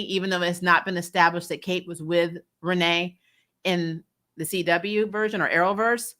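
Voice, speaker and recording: A woman hosting a fan recap show, giving casual, opinionated TV commentary in a blunt, sweary way. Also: lowish voice. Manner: blunt, sweary, animated mock-quoting.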